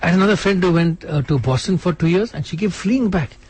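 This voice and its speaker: A man being interviewed on radio as an author, speaking in a quick, animated way with frequent restarts. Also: sits high, slightly rough. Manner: fast, animated, restarts often. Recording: radio studio.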